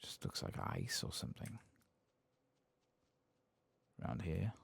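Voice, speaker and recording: A soft-spoken man murmuring relaxed ASMR commentary to himself as he plays a game. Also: extremely low pitch, takes it slowly, gravelly, breathy edge, no echo. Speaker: game streamer. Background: keyboard typing and clicking.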